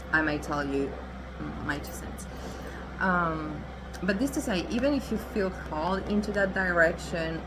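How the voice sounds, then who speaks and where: A woman's voice: deep voice, background noise. A young adult woman whose first language is Italian, doing a chatty, assertive tarot reading and laughing often. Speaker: tarot reader.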